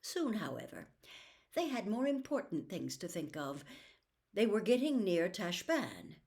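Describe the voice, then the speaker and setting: A woman narrating a classic children's story as an audiobook reader, with expressive storybook delivery. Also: on the low side.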